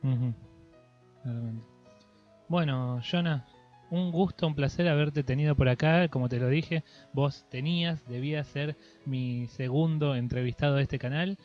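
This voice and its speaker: Male host, Argentine accent, medium pitch, casual interview chat, with music behind. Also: normal speed. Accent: Argentine Spanish. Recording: dull lo-fi audio with music behind.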